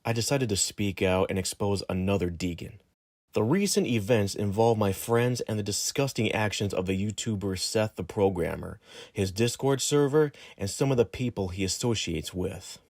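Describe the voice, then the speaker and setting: A man reading a written statement aloud in a formal, measured manner. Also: lowish voice, a little mellow, dry recording, studio quality. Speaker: guest reader of a document.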